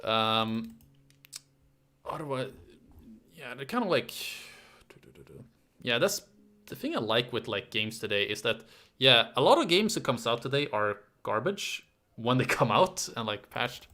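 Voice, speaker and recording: A man chats casually on a live stream, rambling and halting as he answers viewers. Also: mid-range voice, sing-song, bright, forceful tone. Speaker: YouTube history creator hosting a live stream.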